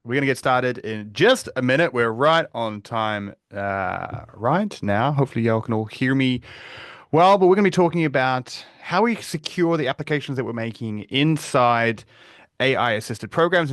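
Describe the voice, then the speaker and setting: A man with a New Zealand accent hosts a live online webinar, talking in a relaxed, conversational way and often pausing on fillers and restarting his sentences. His voice sits at an ordinary middle pitch. The recording is dry and close, with no room sound. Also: no room sound. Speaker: webinar presenter, security advocate.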